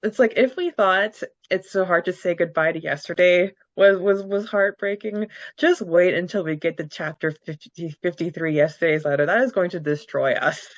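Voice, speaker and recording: A woman co-host with a slightly low voice, talking in a thoughtful, supportive way with the odd halting restart. Her mic sounds dull and lo-fi, and the sound is dry and close. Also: no echo. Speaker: podcast co-host. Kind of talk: casual fan podcast chat.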